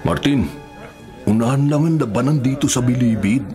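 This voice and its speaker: A man's voice: mid-range voice, normal speed, over music, close to an everyday voice. An actor playing a hot-tempered, bullying male inmate in a radio drama, aggressive and impatient. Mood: scheming.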